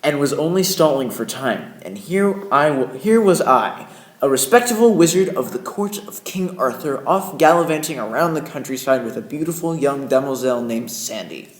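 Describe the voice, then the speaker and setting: A man's voice: medium pitch, animated, normal speed, natural voice. A man playing a flustered, indignant Yankee wizard, narrating an old-time radio play with theatrical, emphatic delivery. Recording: echoey room, music behind.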